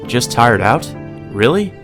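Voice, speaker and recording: A man voicing a gloomy, anxious male high school student with a hesitant manner, in a visual novel read aloud. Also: very animated, slow, a bit bright. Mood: concerned. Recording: music under the voice.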